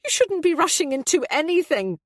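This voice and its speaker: A woman's voice: very high-pitched, lively intonation, drawn-out words, a little mellow, dry recording, clean studio sound, voice pushed up, exaggerated voice. A woman voicing a petulant, self-pitying middle-aged mother in an audiobook, with a wounded, pleading delivery. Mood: disapproving.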